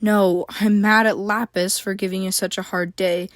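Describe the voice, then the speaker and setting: A woman's voice: medium pitch, level tone, moderate pace, no room sound, clean studio sound, natural voice. Woman doing a snapping angry mother. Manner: sharp, snapping, loud. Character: fierce, protective mother, quick to anger.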